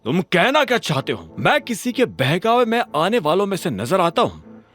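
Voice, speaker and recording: A man's voice: high-pitched, huge pitch swings, moderate pace, fairly bright tone, soft background music, pitched above the performer's own voice, heavily acted. A man voicing an arrogant, hot-tempered company manager in an audio drama, pompous and condescending. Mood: indignant. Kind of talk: Hindi audio drama acting.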